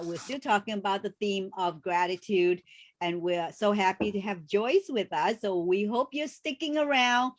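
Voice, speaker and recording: A woman co-hosting a casual video call chat, speaking with halting phrasing and many restarts. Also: mid-range voice. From refugee background. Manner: warm, halting, frequent restarts and fillers. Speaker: co-host.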